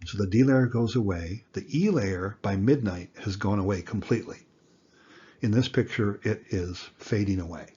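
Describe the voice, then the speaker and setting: A man in his sixties giving an educational lecture in plain words, with a deliberate, folksy manner. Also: on the low side, dark tone, husky, highs cut off. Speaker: instructor.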